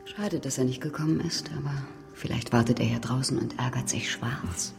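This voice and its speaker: A woman's voice: extremely low pitch, moderate pace, creaky. An actress playing a poised, curious woman in a German radio crime drama, with a probing, lightly teasing manner. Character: poised, curious, attractive female visitor in Rome. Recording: music behind the dialogue.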